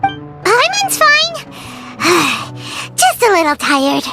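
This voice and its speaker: Tiny childlike game mascot, cheerful, squeaky, hugely animated, with loud music behind. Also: female voice, extremely slow, vocal fry, exaggerated voice. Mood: tired. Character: small childlike floating companion, cheerful, loyal, speaks in third person. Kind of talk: video game voice acting.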